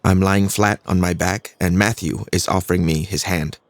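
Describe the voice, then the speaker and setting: An actor playing an earnest young fighter narrating his own story in a measured way, with a Singaporean accent. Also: sits low, fairly even intonation, no echo. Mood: reflective. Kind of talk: scripted first-person audio drama narration.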